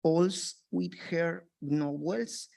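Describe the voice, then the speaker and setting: A man from Mexico, a native Spanish speaker with a Mexican Spanish accent, making an earnest, halting public comment in broken English. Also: on the high side, steady tone, gravelly, darkish tone. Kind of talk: public comment at a board meeting.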